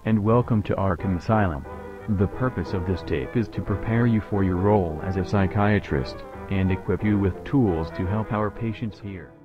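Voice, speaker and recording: A man's voice: sits low, moderate pace, close to an everyday voice. A man playing a formal, welcoming announcer on an asylum staff training tape. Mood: formal welcome. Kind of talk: scripted instructional tape narration. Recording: dull VHS tape, music behind.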